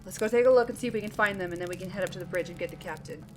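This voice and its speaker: A woman's voice: fairly low pitch, very fast, very noisy, close to an everyday voice. A woman acting as a wounded, commanding and stoic Marine sergeant in an audio drama. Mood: steady, resolute.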